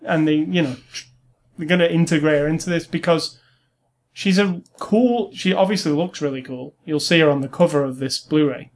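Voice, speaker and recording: A man's voice: on the high side, moderate pace. A man co-hosting a casual film review chat, giving blunt, informal opinions.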